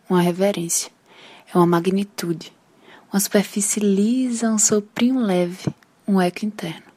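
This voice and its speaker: A woman reads a poem slowly, her breathy, slightly husky voice soft and even. Some phrases fall into a near whisper. The delivery is an unhurried recitation. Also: medium pitch. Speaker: poetry reader. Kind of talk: recorded poetry recitation.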